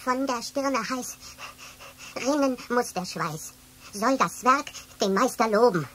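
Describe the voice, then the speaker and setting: Tiny cheeky mouse, squeaky high voice, kids radio play. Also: female voice, animated, slow pace, a bit bright, slightly rough, exaggerated voice. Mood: declaiming. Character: small cheeky, pompous little mouse. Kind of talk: children's audio play acting.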